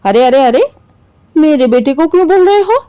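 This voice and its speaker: A woman's voice: expressive, normal speed, dark tone, lo-fi sound. A woman voicing a bitter, possessive middle-aged mother-in-law in a dramatic story, scolding and complaining. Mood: indignant. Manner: shrill indignant complaining, sarcastic.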